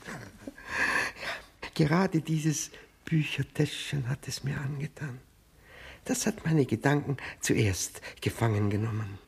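A man's voice: medium pitch, creaky, breathy edge, exaggerated voice. An actor playing a fawning, self-abasing widower in a 1960s German radio play, hesitant and stammering as he pleads. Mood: rapt, confessional. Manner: hesitant, obsequious, stammering repetitions.

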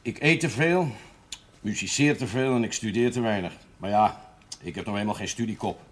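An actor playing a lonely, self-deprecating man with a husky voice in a television drama. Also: on the low side, normal speed, close to an everyday voice. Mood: self-mocking. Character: self-mocking, bored, lonely man who overindulges.

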